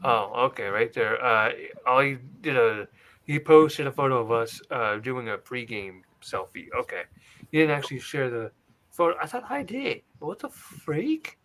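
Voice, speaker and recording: Bright-voiced chatty man rambling on. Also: medium pitch, expressive, moderate pace, a little hiss or hum. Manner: rambling, chatty, keeps apologizing for going off topic. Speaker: podcast co-host. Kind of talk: casual podcast chat.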